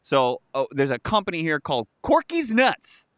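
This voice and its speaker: A man's voice: fairly high pitch, normal speed, no echo. A man in his thirties co-hosting a live stream, chatting casually and rambling through stories and viewer comments. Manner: animated, chatty, rambling with restarts.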